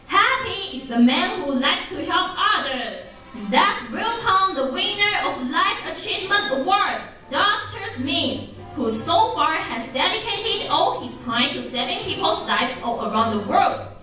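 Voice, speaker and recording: A woman playing an excited award ceremony presenter in an amateur radio drama. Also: sits high, measured pace, exaggerated voice. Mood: proud, excited. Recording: very echoey, distant, faint music behind.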